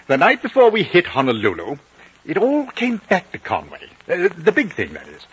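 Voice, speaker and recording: An actor playing a gentleman storyteller in a radio drama, musing expressively and with admiration. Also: high voice, huge pitch swings, normal speed, husky, exaggerated voice. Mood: wondering, admiring. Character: animated gentleman storyteller admiring his adventurer friend. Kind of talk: 1950s radio drama acting. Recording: muffled old radio broadcast.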